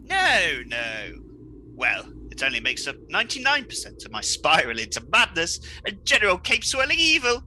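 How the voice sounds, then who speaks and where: An actor playing a petulant, melodramatic villain spiralling into cape-swirling evil in a comic radio play. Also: male voice, high voice, huge pitch swings, moderate pace, sharp tone, a little hiss or hum, full character voice, rough recording. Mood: exasperated. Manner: melodramatic, fussy.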